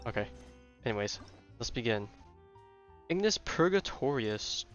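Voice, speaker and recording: A man's voice: medium pitch, very animated, unhurried, muffled recording. A man giving casual live commentary on a game, rambling as he thinks aloud. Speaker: game streamer. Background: game music.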